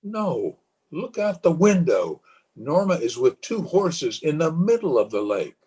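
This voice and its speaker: An actor playing a haunted, superstitious, fearful husband in the dramatic, halting style of a 1930s radio horror play. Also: male voice, fairly high pitch, very animated, mellow, exaggerated voice. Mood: frightened. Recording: husky, muffled voice recorded over video call.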